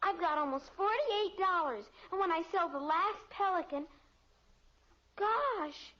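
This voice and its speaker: Earnest little girl, American, piercingly high and bright, easily upset, vintage radio. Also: lively intonation, a little slow, exaggerated voice. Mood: eager, proud. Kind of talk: 1950s radio sitcom acting. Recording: muffled old radio recording.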